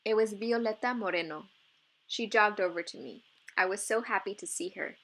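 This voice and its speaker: A woman narrating a children's novel as an expressive read-aloud. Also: medium pitch, normal speed, poor audio.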